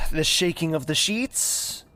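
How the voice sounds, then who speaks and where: A man's voice: a little high, takes it slowly, bright, forceful tone, soft background music. A man playing an exasperated, lovestruck young nobleman in a fantasy audio drama. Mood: concerned, awkward. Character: young nobleman, exasperated, secretly smitten, fearful of his father.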